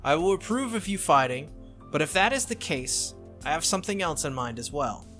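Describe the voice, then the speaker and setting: A stern young swordswoman of a visual novel, read by a man, speaks formally and earnestly. The voice is bright and measured, with music behind it. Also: a little high, big pitch swings. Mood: firm, resolute.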